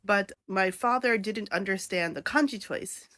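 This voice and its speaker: Woman, 30s, conversational vlogger, candid and rambling, mid pitch, dry close sound. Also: measured pace, a bit bright, dry recording. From born in Japan, raised in New York City. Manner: chatty, candid, with fillers and self-asides. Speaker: content creator. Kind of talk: casual talking-to-camera vlog.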